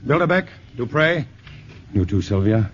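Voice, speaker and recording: Lead scientist, male, steady and resolute; old radio broadcast sound. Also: mid-range voice, unhurried, a little hiss or hum. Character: calm male scientist leading the team. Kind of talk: 1950s radio drama acting. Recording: muffled vintage radio broadcast.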